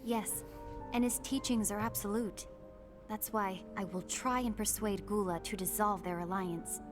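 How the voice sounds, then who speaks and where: A composed young woman leader, played by an actress in a fantasy game cutscene, earnest and formal. Her mid-pitched voice moves with measured care. Game music plays clearly behind her. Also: fairly even intonation, natural voice. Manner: formal, measured, careful diction. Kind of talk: dramatic video game cutscene dialogue.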